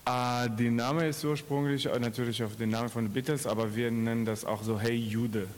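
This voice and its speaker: A man's voice: mid-range voice, steady tone, a little fast, slight background noise. A man from Israel with an Israeli accent, chatting informally and slangily as an interviewed theatre maker. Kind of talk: casual interview chat.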